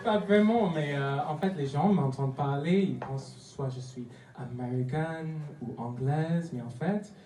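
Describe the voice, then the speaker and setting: A man's voice: fairly high pitch, measured pace, few pauses. Playful Trinidadian-accented young man on stage. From Trinidad and Tobago. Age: young adult. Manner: playful, self-mocking, laughing through lines. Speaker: stand-up comic. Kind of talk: stand-up comedy set. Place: comedy club stage. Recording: echoey club from far off, loud noise.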